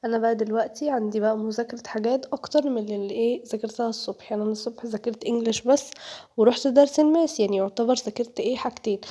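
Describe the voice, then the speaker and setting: A woman's voice: on the high side, dark tone. A teenage girl with an Egyptian Arabic accent, narrating her day in a chatty vlog voice-over while getting over a cold, talking straight to her viewers. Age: teens. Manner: chatty, jokey, addresses viewers directly. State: has a cold, voice sounds off. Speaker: vlogger, high school student.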